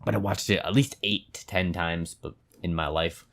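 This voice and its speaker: A man with a low-pitched voice hosts a reaction video. He talks in a loose, casual, rambling way, as if chatting to his viewers. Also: fairly even intonation, moderate pace, a bit bright.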